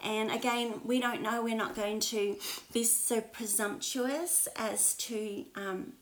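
A woman's voice: on the high side, steady tone, slow, rough recording. An Australian woman in her sixties, a pastor chatting casually on a home livestream with prayers and many fillers. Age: 60s. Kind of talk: casual livestream devotional chat. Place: spare bedroom at home.

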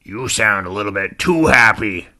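Man playing a grumbling, very low-voiced old rhinoceros. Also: expressive, moderate pace, bright, forceful tone. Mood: wary, grumpy. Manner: grumbling, hesitant muttering with ums. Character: grumpy old cantankerous male rhinoceros, big, forgetful, muttering.

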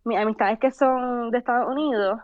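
A young adult woman from Puerto Rico with a Puerto Rican accent, a podcast guest telling stories in relaxed chat, mixing Spanish and English. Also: fairly high pitch, fairly quick, dry recording, phone-quality sound. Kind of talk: casual interview chat.

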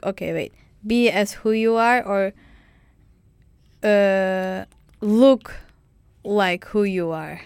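A young woman with a Turkish accent talks casually and haltingly, often pausing to hunt for the right word and filling gaps with "like". Her voice sits at a middle pitch, and the recording is dry and close. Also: clear, resonant tone, dry recording. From Turkey. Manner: halting, searching for words, many "like" fillers. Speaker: conversation partner. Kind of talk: casual chat.

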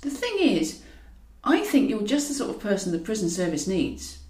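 A woman's voice: medium pitch, very animated, moderate pace, darkish tone, close to an everyday voice. Woman acting a tactful bluffing female agent, echoey. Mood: flattering, bluffing. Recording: roomy echo, distant from mic.

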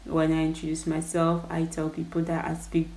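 A young woman from Congo whose English carries a French tinge talks through her podcast plans, thinking aloud. Her voice sits fairly low. The sound is roomy, with a clear echo. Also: completely flat delivery, moderate pace, a little mellow. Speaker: podcast host. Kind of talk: casual behind-the-scenes monologue.

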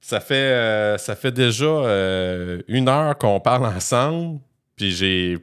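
A man's voice: lowish voice, lively intonation, takes it slowly, fairly bright tone, clean tone. A man with a Quebec French accent interviewing a guest, encouraging and affirming, with short back-channel replies. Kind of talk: casual interview conversation.

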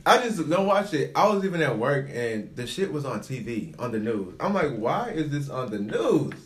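A man's voice: medium pitch, big pitch swings, moderate pace. A man co-hosting a casual podcast, talking gossip in a blunt, opinionated way with plenty of slang and swearing. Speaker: podcast co-host. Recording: roomy, echoey podcast recording.

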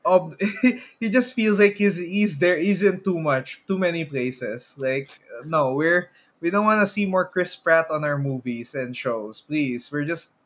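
Male podcast co-host, Filipino accent, slightly high voice, casual chatter, quick to chuckle. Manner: chuckles often while talking. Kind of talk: casual chat about films and games.

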